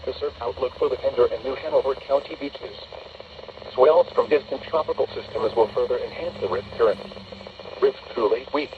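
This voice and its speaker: A synthetic American man's voice reading a measured, flat weather bulletin through a small radio speaker. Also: mid-range voice. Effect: heard through a small radio speaker. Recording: dull, distant small radio speaker, noisy.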